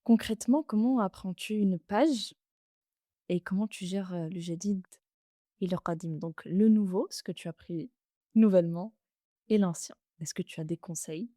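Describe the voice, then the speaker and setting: Earnest woman host, mellow dry voice. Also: mid-range voice, moderate pace, dry recording. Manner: hesitant, earnest, many fillers. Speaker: podcast host. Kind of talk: interview conversation.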